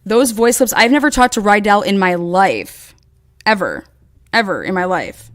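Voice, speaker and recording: A woman guest in an interview telling a long story in a casual, animated way and acting out quoted dialogue. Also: medium pitch, moderate pace. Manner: rambling with frequent restarts.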